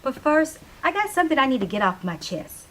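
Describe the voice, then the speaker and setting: A woman performing as a female small-town radio host from the seventies, in a stage play reading. Her voice sits fairly high, and she is emphatic and opinionated, preaching proudly at her listeners. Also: very animated, fairly quick, a bit bright. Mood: preachy, fervent.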